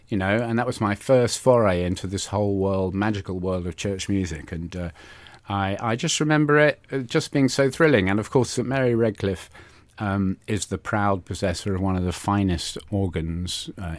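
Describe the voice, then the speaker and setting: A man's voice: sits low, fairly even intonation, moderate pace, no echo. A man in his sixties with a London accent, reminiscing casually as a studio interview guest in a hesitant, self-deprecating, chatty way. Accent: London English. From London, England. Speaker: interview guest, church musician. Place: radio studio.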